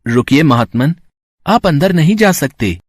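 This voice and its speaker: A man playing a young elephant-headed boy god, dutiful and boldly calm, with polite, formal speech. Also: on the high side, a little slow, breathy edge, no room sound, studio quality. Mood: dutiful, firm. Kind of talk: acted dialogue in a Hindi mythological story.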